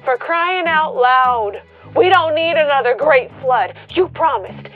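A grieving rural woman in a radio play. Her high voice pleads and argues in exasperation, sometimes stammering. The sound is thin like a phone line, with music behind it. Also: animated, slow pace. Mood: pleading, exasperated. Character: grieving, angry rural woman arguing with God.

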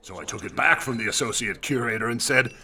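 Sharp, creaky, jovial academic. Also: male voice, mid-range voice, fast, heavily acted. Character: jovial academic telling a joke among colleagues. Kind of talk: period radio drama acting.

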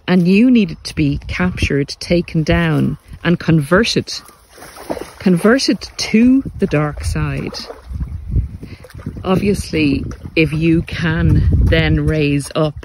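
A man giving a motivational spiritual talk as a podcast host, speaking earnestly and deliberately, with repeated phrases for emphasis. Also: on the high side, slight background noise, low-quality recording. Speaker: podcast host, narcissistic abuse recovery coach.

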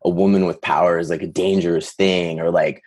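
A man's voice: sits low, level tone, a little fast, darkish tone. A man in his twenties, a podcast guest, rambling casually with constant fillers and laughs. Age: 20s. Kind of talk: casual chat.

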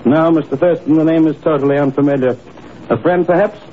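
An actor playing a courteous, measured police inspector in a 1950s radio drama, formal and slightly hesitant. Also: male voice, fairly high pitch, husky. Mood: courteous, discreet. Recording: muffled, noisy old radio broadcast recording.

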